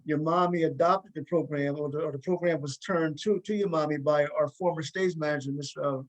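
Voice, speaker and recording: A man's voice: on the high side, fairly even intonation, fairly quick, low-quality recording. A man hosting a casual interview over a video call, often hesitating and repeating his words as he goes. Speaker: talk show host.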